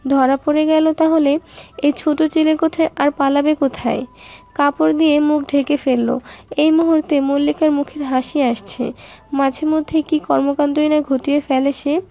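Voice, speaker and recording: A woman narrates an audio story. Her voice is very high and soft-edged, and the recording is a little dull.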